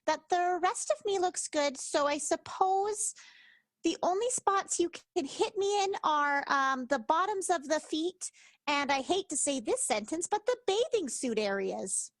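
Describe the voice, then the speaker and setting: A woman's voice: extremely high pitch, expressive, a little slow, dry recording. A woman playing a polite, calculating vaudeville performer in an improvised radio comedy, speaking hesitantly and matter-of-factly. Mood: polite, scheming. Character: polite, calculating vain female 1920s performer.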